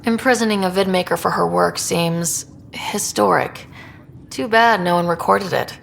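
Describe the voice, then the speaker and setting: A composed woman explorer in a sci-fi game. Her voice sits fairly low and she speaks earnestly and evenly. There is a long reverb around her with a little noise underneath. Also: normal speed, creaky. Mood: earnest concern. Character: composed, supportive young female space explorer and leader. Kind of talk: video game dialogue. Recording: reverberant, faint background noise.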